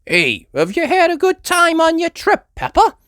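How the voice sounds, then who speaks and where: A woman's voice: very high-pitched, huge pitch swings, moderate pace, a bit bright, no echo, studio quality, pitched above the performer's own voice, exaggerated voice. A woman voicing a friendly, chirpy female rabbit in a children's storybook, helpful and full of exclamation.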